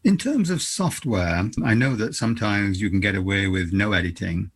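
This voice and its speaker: A lowish man's voice with a Scranton, Pennsylvania accent and a husky grain. He asks questions and tells short stories in a measured, conversational way. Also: lively intonation. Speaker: co-host. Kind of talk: casual interview chat.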